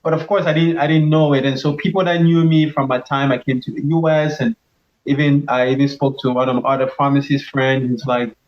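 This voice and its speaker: A man's voice: mid-range voice, little pitch movement, a little fast, muffled recording. A middle-aged pharmacist from Cameroon, a guest in an interview, talks earnestly about his path with many restarts. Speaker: pharmacist and leadership coach, guest. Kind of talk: casual interview answers.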